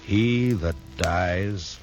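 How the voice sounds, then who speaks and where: An actor playing a stern, world-weary frontier marshal in a 1950s western radio drama, speaking tersely and gravely. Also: male voice, deep voice, very slow, music in the background, full character voice. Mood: somber. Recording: dull old radio recording.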